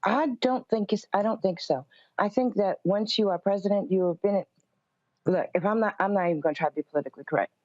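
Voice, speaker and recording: A woman's voice: medium pitch, quick pace, darkish tone, no echo, highs cut off. A woman giving candid, opinionated political commentary in a conversational way as an interview guest. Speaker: interview guest, pastor and organization CEO.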